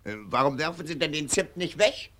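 An actor playing a pompous older colonel in a German radio drama, clipped, condescending and blustering. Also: male voice, on the high side, a little fast, sharp tone. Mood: brisk, prying. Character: pompous, brisk older colonel and family patriarch.

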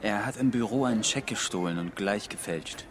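An actor playing a self-satisfied young police detective, telling gossipy, animated stories in audio drama dialogue. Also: male voice, fairly low pitch, brisk, noisy background, lightly acted. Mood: gleeful.

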